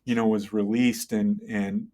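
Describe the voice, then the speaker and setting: A middle-aged man speaking as an interview guest, conversational and halting, thinking aloud and often checking in with the listener. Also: on the low side, little pitch movement, mellow, a little hiss or hum. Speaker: interview guest, tech entrepreneur and engineer. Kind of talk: conversational interview, telling career story.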